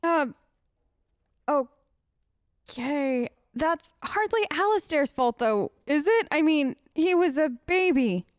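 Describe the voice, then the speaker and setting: High, close-sounding woman role-playing a game. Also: expressive, takes it slowly, no room sound. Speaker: let's player role-playing her game character. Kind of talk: improvised in-character gaming commentary, conversational.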